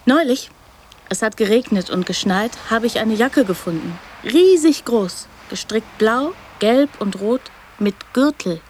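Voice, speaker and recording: A woman's voice: on the high side, lively intonation, measured pace, a little mellow, slight background noise. An actress playing an odd young girl in a radio drama, blunt yet tender, in simple childlike sentences. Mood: curious.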